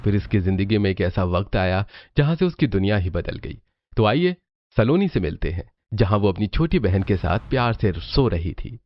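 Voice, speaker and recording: A man narrating a scripted family tale as a storyteller, with expressive delivery. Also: fairly low pitch, moderate pace, dry recording, dull audio.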